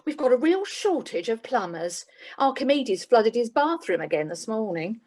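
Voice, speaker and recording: A woman's voice: on the high side, very animated, normal speed, a little mellow. A woman playing a businesslike, slightly weary job agent in a comic radio play. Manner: businesslike, dry, faintly exasperated. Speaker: employment agent.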